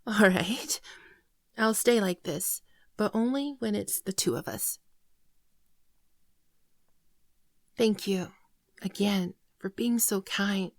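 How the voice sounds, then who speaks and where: A woman acts out a shy female alien in an ASMR roleplay, earnest and naive about humans. The voice is soft and often whispery, with a creaky edge. She speaks very slowly and tenderly, close to the mic in a very dry space. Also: mid-range voice, no room sound. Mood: shy, grateful. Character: gentle shapeshifting female alien, naive, earnest, shyly affectionate. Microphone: close microphone, dry sound.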